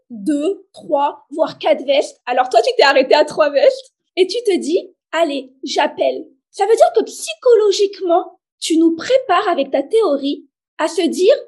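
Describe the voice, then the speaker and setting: A woman's voice: very high voice, darkish tone. A woman hosting a podcast as an HR expert, friendly and enthusiastic, addressing the listener directly.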